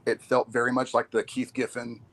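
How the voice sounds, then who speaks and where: A man's voice: mid-range voice, monotone, fairly quick, fairly bright tone. A man speaking as a podcast guest, giving opinions in casual geek chat.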